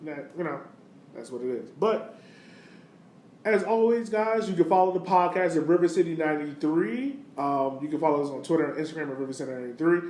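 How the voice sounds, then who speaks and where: Man, slightly high voice, casual podcast host, conversational, echoey room. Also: fairly quick, a little mellow. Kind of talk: casual soccer podcast discussion. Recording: roomy echo, clear background noise.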